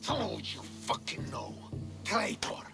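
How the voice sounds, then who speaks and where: A bitter young Spartan commander in a game cinematic. His voice sits fairly high and swings widely, with clipped, contemptuous authority. Loud music plays underneath. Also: big pitch swings, takes it slowly, gravelly, exaggerated voice. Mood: cold, bitter. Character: bitter, hot-headed young Spartan commander, grieving adopted son. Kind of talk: video game cinematic drama acting.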